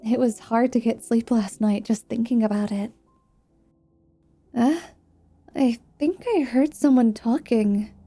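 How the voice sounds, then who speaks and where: A woman's voice: fairly high pitch, a little slow, pauses often, mellow, soft background music. A woman doing a sweet chatty young mage student. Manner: chatty, eager, giggly messages. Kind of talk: ASMR roleplay.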